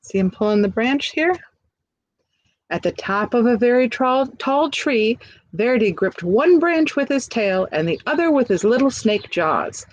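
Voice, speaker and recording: Unhurried woman reading a picture book. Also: a little high, lively intonation, muffled recording. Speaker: storybook reader. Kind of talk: expressive picture-book read-aloud with asides to children.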